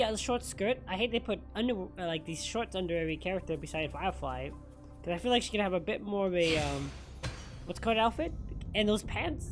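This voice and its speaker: A woman giving casual, rambling playthrough commentary as a gaming streamer. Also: medium pitch. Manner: chatty, rambling, informal. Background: game music.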